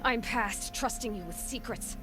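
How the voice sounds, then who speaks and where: An actress playing a blunt, impatient young huntress in a video game, with a defiant, exasperated manner. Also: female voice, on the high side, unhurried, natural voice. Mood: angry. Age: young adult. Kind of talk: dramatic video game dialogue. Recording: loud music behind.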